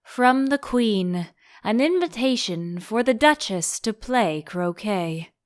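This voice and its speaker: A woman voicing a solemn, obtuse frog footman in a storybook, slow and literal-minded in his stubborn indifference. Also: medium pitch, animated, dry recording, studio quality.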